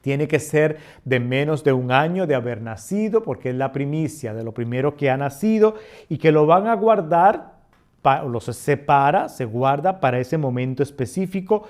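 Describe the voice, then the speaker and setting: Man, middle-aged, Costa Rican accent, a bit high-pitched, scripture teacher who reads slowly and builds emphasis. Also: lively intonation, a little mellow. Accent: Costa Rican Spanish. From Costa Rica. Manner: reads passages slowly, then explains with swelling emphasis. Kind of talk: reading scripture and explaining it.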